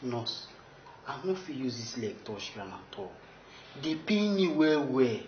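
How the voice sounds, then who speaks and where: An injured, complaining Nigerian patient in a TV drama. He pleads in a middle-pitched voice. The recording is dull, with faint noise. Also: big pitch swings, unhurried. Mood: pained, pleading. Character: pained, reluctant male patient with injured leg. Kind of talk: Nigerian Pidgin TV drama dialogue. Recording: muffled, echoey, faintly noisy room.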